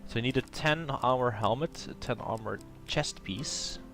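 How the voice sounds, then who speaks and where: A man's voice: mid-range voice, moderate pace, a bit bright, faint music behind. A man with a cold and a nasal voice, hosting a casual gameplay commentary and thinking aloud with plenty of hesitation. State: has a cold. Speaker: let's play host.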